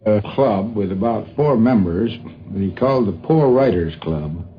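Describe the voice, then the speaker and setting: A man's voice: lowish voice, expressive, normal speed. An older, educated man with an American accent, reminiscing hesitantly in a dull 1950s radio interview. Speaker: interviewee, university academic. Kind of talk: unscripted reminiscing.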